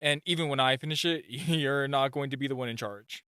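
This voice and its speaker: A man's voice: medium pitch, bright, forceful tone, smooth, no room sound, studio quality, close to an everyday voice. A man playing a super-shy spy gadget guy in a romantic comedy audio roleplay, nervous and stammering, easily flustered. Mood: nervous, puzzled. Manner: nervous, stammering, halting, self-interrupting.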